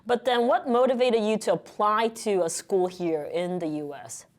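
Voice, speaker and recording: A woman's voice: mid-range voice, normal speed. A woman hosting a conversational interview, putting questions and remarks to her guest. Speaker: interview host.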